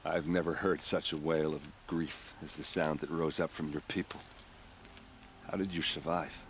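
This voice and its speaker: Deep-voiced grieving guard captain. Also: male voice, steady tone, normal speed, slight background noise. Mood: subdued. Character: grieving, hard-drinking male captain of the guard. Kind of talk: cinematic video game acting.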